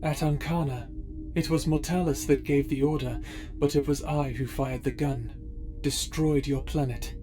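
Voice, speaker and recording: A man playing a stern, guilt-ridden space pirate officer in an audio drama, with measured, formal speech. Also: medium pitch, steady tone, natural voice. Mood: grave confession. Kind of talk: audio roleplay drama acting. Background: background music.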